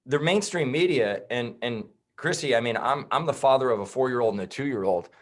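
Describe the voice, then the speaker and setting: A man in his thirties talks on a podcast as a guest. He is blunt and heated, and his voice sits at an ordinary middle pitch. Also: lively intonation, fairly quick. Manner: blunt, heated, swears and says bro. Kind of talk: casual podcast conversation.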